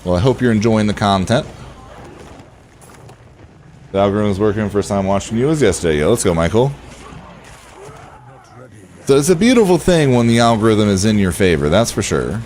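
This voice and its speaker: A man with a relaxed voice, chatting casually to viewers as he streams a game and thinks aloud. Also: lowish voice, moderate pace, long pauses, a little hiss or hum. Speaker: game livestreamer. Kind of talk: casual livestream chat over gameplay.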